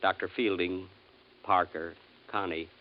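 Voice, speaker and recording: An actor playing a wistful, lovelorn man in a 1950s radio drama, speaking in a measured, understated way. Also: low-pitched, fairly even intonation. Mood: calm, understated. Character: wistful, lovelorn man welcomed among Martians. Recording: muffled vintage 1950s radio recording.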